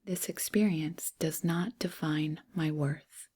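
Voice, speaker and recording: A woman leads a guided meditation in a soft, breathy voice that sits close to a whisper. Her pitch is on the low side and her delivery is very slow. She speaks gently in short phrases, leaving space between them. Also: steady tone. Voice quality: breathy, hushed, near-whisper. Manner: gentle, unhurried phrasing with pauses.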